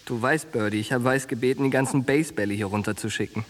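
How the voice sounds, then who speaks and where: Ein Schauspieler in einem Hörspiel spielt einen derben, eindringlichen jungen Soldaten und treuen Freund mit genervtem Temperament. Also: male voice, mid-range voice, fast talker, natural voice. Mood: low, confiding. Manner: blunt, coarse, insistent. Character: streetwise, exasperated young soldier, loyal friend. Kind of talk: naturalistic German radio drama acting.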